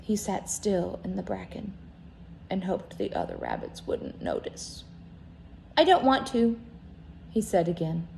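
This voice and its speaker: Animated woman reading a children's story. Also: lowish voice, unhurried, vocal fry, a little hiss or hum, low-quality recording. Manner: animated, dramatic, drops to hushed tones. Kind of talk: expressive read-aloud storytelling.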